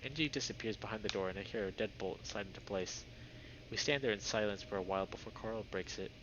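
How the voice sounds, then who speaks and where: A man's voice: fairly low pitch, fast talker. A man live-streaming a visual novel, reading it aloud and chatting casually with viewers. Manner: chatty, greets viewers by name. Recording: dull lo-fi microphone, faint noise.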